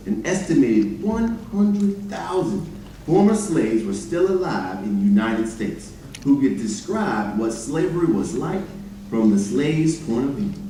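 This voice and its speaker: A man giving a formal, measured scripted narration on stage, recorded from a distance in a very echoey chapel. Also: mid-range voice. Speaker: readers theater narrator and singer. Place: large echoey indoor chapel, live performance. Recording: very echoey hall, distant mic, faint noise.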